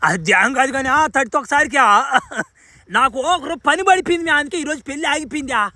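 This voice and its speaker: Man voicing a very high-pitched brash cartoon lad. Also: brisk, bright, forceful tone, dry recording, clean studio sound, full character voice. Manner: colloquial, crude, exclamatory. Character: brash, foul-mouthed young superhero son, easily baffled. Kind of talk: amateur cartoon dub.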